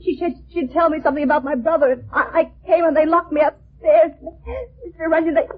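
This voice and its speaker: An actress playing a terrified, distraught young woman, halting and tearful, in melodramatic old-time radio style. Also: sits high, steady tone, close to an everyday voice. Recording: thin, phone-like old radio recording.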